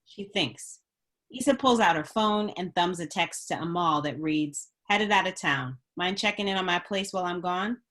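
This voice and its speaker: Female narrator, fairly low pitch, reading screenplay stage directions. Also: moderate pace, a bit bright. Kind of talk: scripted narration.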